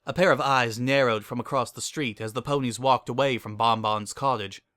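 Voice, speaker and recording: A man reads a story aloud as narrator, with dramatic delivery. His mid-range voice is bright and forceful, captured close and dry. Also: medium pitch, steady tone, normal speed, dry recording.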